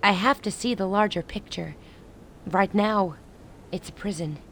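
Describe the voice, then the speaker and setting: An actress playing a grave, resolute woman who leads a besieged rebel city in a radio play, speaking in measured, formal lines. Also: medium pitch, a bit bright, slight background noise. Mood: resigned, resolute. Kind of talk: dramatic radio play dialogue.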